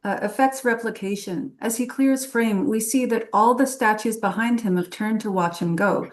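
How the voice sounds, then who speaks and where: A woman's voice: mid-range voice, moderate pace. A woman reading stage directions as dramatic scripted narration at a table read.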